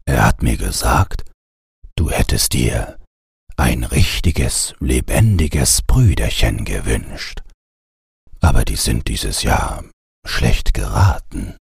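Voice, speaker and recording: A man voicing a kindly, apologetic Father Christmas with a gentle, measured delivery in a storybook reading. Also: very deep voice, animated, gravelly, breathy edge, no echo, clean studio sound, voice pushed down, exaggerated voice.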